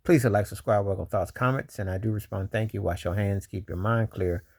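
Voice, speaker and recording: Low, gravelly-voiced man giving commentary. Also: steady tone, fairly quick, a little mellow. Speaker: commentary vlog host. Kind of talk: opinionated spoken commentary on quotes.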